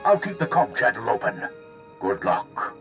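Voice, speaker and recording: Commanding male officer, urgent, mid-pitched, animated, video game cutscene, music behind. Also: unhurried, vocal fry. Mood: steely urgency. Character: urgent, self-sacrificing male military fleet officer. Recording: music under the voice.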